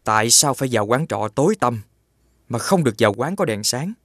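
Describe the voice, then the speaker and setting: A man voicing a confident, suspicious young prince in an animated fairy tale. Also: medium pitch, a little fast, dry recording, studio quality. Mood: suspicious, resolute.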